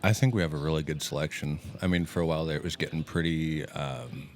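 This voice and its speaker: Very deep-voiced man, loud and plainspoken; event admin, casual interview guest. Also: quick pace, slight background noise. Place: event hall at a gaming party.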